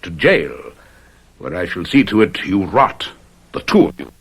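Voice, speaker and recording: Sneering aristocrat villain, swooping delivery. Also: male voice, sits low, very animated, unhurried, husky, full character voice. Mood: domineering. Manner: pompous, sarcastic, menacing. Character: cruel, gloating English aristocrat husband with a gun. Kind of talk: old radio drama acting.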